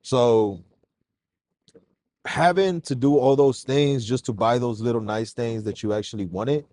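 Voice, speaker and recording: A man from Mexico, a guest on a casual podcast interview, telling his story in a relaxed, slangy way. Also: medium pitch, unhurried, no room sound. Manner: relaxed, slangy, calls host "bro".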